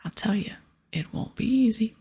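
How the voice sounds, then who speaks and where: A woman voicing a gruff, bossy male pirate captain in a breathy ASMR whisper, with piratical dialect. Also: on the high side, normal speed, dark tone. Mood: bossy, explaining. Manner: piratical dialect, explaining and ordering. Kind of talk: whispered ASMR game dialogue.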